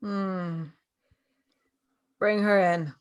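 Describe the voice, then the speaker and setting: A woman plays a calm, sceptical woman. Her medium-pitched voice moves slowly, with a questioning manner, as in a night-time audio drama scene. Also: clear, resonant tone. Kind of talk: audio drama table read.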